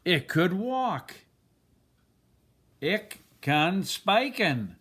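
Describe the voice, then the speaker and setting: An older man reading a children's picture book aloud, expressively and deliberately, with dramatic pauses. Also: high voice, very animated, a bit bright, husky. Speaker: storybook reader.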